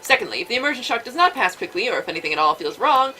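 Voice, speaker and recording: A woman's voice: a little high, big pitch swings, very fast, bright, forceful tone, soft background music. A woman voicing a stern lead developer giving formal, insistent instructions in a visual novel read-aloud. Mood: grave warning. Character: stern lead developer briefing virtual reality testers. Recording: faint background noise.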